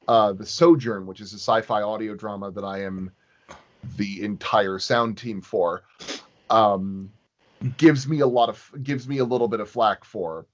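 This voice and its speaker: A man chatting casually as an interview guest, halting and often circling back to rephrase his thoughts. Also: fairly low pitch, highs cut off. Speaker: podcast guest, sound designer.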